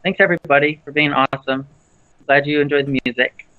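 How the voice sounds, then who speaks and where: A man co-hosting a livestream, chatting casually with lots of fillers and restarts about a naturally low voice. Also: fairly even intonation, a little fast, no echo, narrow, tinny audio. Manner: rambling, with many fillers and restarts. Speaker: livestream co-host.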